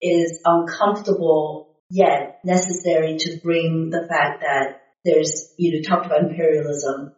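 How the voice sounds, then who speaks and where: A woman's voice: sits low, little pitch movement, a little slow, darkish tone. A Korean-born woman, bilingual, co-hosting a podcast and talking about faith in a thoughtful, earnest way. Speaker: podcast co-host. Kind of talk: reflective faith conversation. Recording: echoey room, distant mic, muffled.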